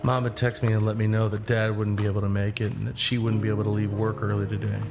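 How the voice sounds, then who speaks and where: A man acting as a laid-back young man narrating an audio drama about himself. He speaks plainly and conversationally, like scripted first-person storytelling. His voice sits a little low, under prominent guitar music. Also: level tone, fast talker, close to an everyday voice. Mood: reflective. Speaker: narrator and lead.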